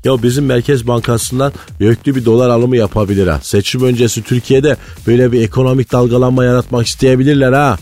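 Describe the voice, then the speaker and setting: A man's voice: medium pitch, steady tone, fast talker, hardly any pauses, a little mellow, close to an everyday voice. Man acting a provincial conspiracy guru, eastern Anatolian Malatya accent, folksy, earnest, rambling, radio comedy, faint music. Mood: conspiratorial, insistent. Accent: Malatya, eastern Anatolian Turkish. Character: pious, conspiracy-minded provincial male economy guru, rambling storyteller. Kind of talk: comic radio character monologue. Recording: studio voice over background music.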